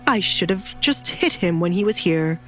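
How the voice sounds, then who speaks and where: A woman's voice: fairly low pitch, lively intonation, moderate pace, a bit bright, faint music behind. A woman playing a cowed, resentful older baron and father in an audio drama, nervous and indignant. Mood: resentful muttering. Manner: nervous before superiors, indignant when alone.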